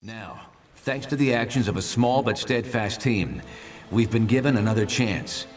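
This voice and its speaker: A male actor as a noble superhero leader in a game, giving an earnest, rousing speech. His medium-pitched voice sounds away from the mic, with music swelling behind. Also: normal speed, close to an everyday voice. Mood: solemn, resolute. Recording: distant microphone, music behind.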